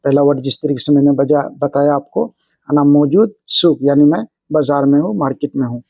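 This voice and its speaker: A man's voice: fairly high pitch, fairly quick, gravelly, no room sound, muffled recording. A man hosting an instructional language lesson, explaining phrase by phrase and repeating each example. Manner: repetitive, explanatory, phrase-by-phrase delivery. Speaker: language teacher and video host.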